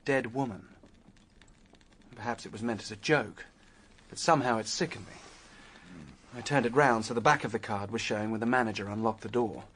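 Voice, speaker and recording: A man's voice: on the low side, steady tone, fairly quick, a bit bright, slight background noise, close to an everyday voice. An actor playing a penniless, determined young Australian man who ruefully recounts his adventure in a radio drama. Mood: uneasy. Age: young adult. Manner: vivid storytelling, stammers when flustered. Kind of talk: radio drama acting and first-person narration.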